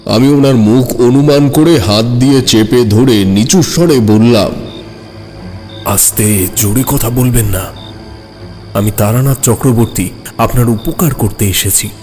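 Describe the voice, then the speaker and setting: A man narrating a scripted horror story in the first person, measured and suspenseful. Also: medium pitch, darkish tone. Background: background music.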